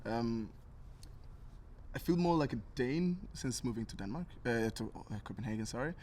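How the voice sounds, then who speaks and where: A man's voice: mid-range voice, expressive, normal speed, a little mellow, slight background noise. A young man from Tanzania talking casually and earnestly as an interview guest, thinking things through. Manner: reflective, earnest.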